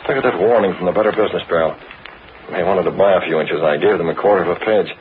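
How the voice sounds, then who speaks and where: A man's voice: sits low, very fast, close to an everyday voice. A man playing a cool, restless newspaper boss with a secret, in a vintage American radio drama. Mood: indignant. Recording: narrow-band, noisy old radio recording.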